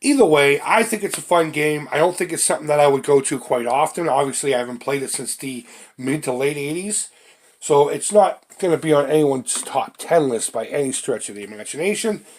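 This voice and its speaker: A man's voice: fairly high pitch, expressive, fairly quick. A middle-aged man with a stuffy, congested voice from a head cold, giving casual, self-deprecating commentary as he hosts a retro video game showcase. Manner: self-deprecating, informal, mildly crude. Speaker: host of a retro gaming channel. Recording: clearly noisy home recording.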